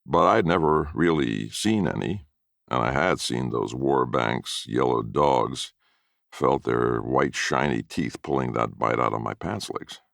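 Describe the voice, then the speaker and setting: A man's voice: a bass voice, a little slow, creaky, a bit bright, no room sound, studio quality. A man reading a first-person story as narrator in a folksy storytelling manner.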